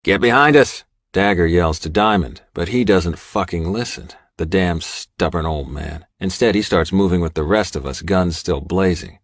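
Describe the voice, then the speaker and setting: Male audiobook narrator, low-pitched, dramatic first-person storytelling, dry close studio sound. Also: moderate pace, few pauses, dry recording.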